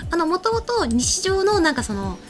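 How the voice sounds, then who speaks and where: A woman's voice: extremely high pitch, sing-song, unhurried, music in the background. A woman co-hosting a casual anime and game discussion in a studio, speaking into a desk microphone. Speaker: show co-host. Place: small indoor studio set.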